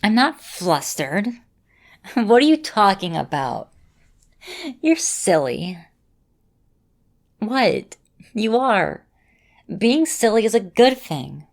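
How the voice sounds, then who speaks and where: A woman playing an affectionate vampire mistress in an ASMR roleplay, speaking in a gentle, reassuring and softly teasing manner. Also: mid-range voice. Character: affectionate centuries-old female vampire mistress, encouraging mentor.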